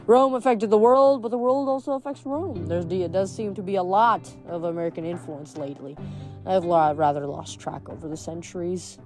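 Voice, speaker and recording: A woman's voice: on the low side, fairly even intonation, moderate pace, music in the background, natural voice. Casting: a woman reader as an ancient, manly god of a river, polite and wry, formal phrasing, storybook style. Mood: musing, courteous.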